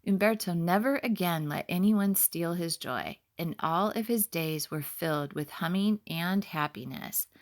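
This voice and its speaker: A woman narrating her own children's story in an expressive, clearly enunciated manner. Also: lowish voice, a little slow, hardly any pauses. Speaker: author reading own story.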